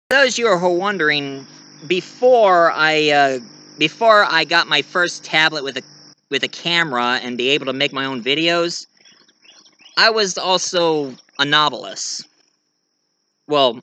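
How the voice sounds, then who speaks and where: An American man giving a casual show-and-tell monologue, rambling and halting as he corrects himself along the way. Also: a little high, sharp tone, clear, resonant tone. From United States. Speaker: amateur reviewer and story writer presenting own work.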